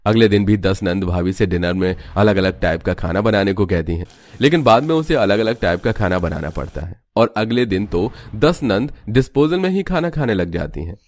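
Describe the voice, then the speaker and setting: A man's voice: lowish voice, animated, moderate pace, a little mellow, no room sound. A man giving scripted narration for a cartoon family story as its narrator.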